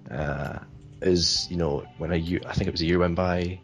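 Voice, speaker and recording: A man's voice: deep voice, fairly even intonation, normal speed, vocal fry, soft background music. A man from Sweden answering interview questions in a hesitant, rambling way, full of fillers. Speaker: interview guest.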